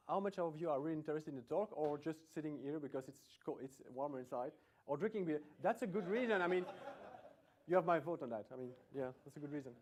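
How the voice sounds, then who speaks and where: A man's voice: fairly high pitch, a little mellow. A middle-aged man from Belgium with a Belgian accent, giving a chatty, self-deprecating conference talk in a lecture room, often restarting his sentences. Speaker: conference speaker.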